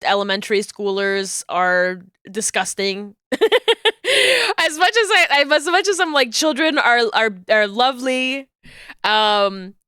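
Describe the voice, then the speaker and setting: A woman's voice: fairly high pitch, slow pace, sharp tone, smooth, dry recording, studio quality. A woman streamer with a cold, sounding congested, chatting casually and rambling before playing a game. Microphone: desk microphone.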